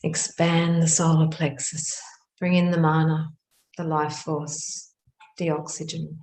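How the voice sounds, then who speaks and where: Female meditation guide, low and very even in tone, measured hushed phrases with pauses, roomy echoing recording. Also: slight background noise.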